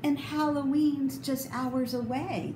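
A woman's voice: high voice, fairly even intonation, slow pace, voice pushed up. A woman voicing a frustrated, possessive witch from a storybook in an emphatic, grumbling way. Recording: roomy echo, clear background noise.

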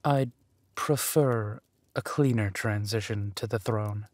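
Man acting a slow-talking scheming villain duke. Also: on the low side, darkish tone, dry recording. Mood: sly, scheming. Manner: slow, deliberate, drawling, menacing aristocrat. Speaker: voice actor. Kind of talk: villain roleplay audio drama.

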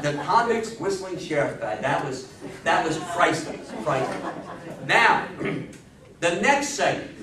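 A man in his sixties giving an animated talk to an audience, telling stories emphatically, repeating phrases and asking questions. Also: high voice. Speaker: lecturer on old-time radio history. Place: meeting room with seated older audience. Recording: roomy, distant camera mic with background noise.

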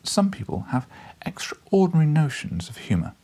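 A man's voice: fairly high pitch, big pitch swings, normal speed, warm tone, slightly rough. A man in his thirties reading a literary story expressively as an audiobook narrator. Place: small book-lined living room, indoors.

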